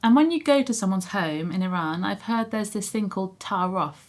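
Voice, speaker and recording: A woman's voice: medium pitch, expressive, a little fast. A woman asking questions in a conversational interview.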